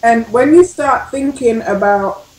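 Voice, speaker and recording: A woman's voice: fairly high pitch, measured pace, a little mellow. A woman with a legal background, talking casually as a panelist in a discussion. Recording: roomy, echoing sound.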